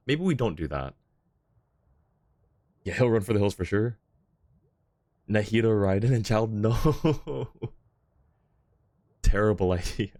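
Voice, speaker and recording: A man's voice: sits low, a little mellow, dry recording. A man chatting casually as a game streamer, thinking aloud in an informal way. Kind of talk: casual livestream chat and game commentary.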